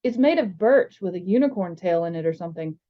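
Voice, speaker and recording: A woman voicing a chatty, excitable young American boy in a storybook, with a breezy, rambling manner. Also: fairly low pitch, brisk, lightly acted. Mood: breezy, excited. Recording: slightly dull, lo-fi sound.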